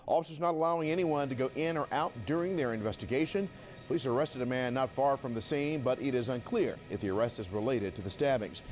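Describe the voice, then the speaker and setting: Man, fast-paced breaking news delivery, medium pitch, faint room noise. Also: a little mellow. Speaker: newsroom reporter. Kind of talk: scripted breaking news report. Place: newsroom. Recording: faint newsroom ambience.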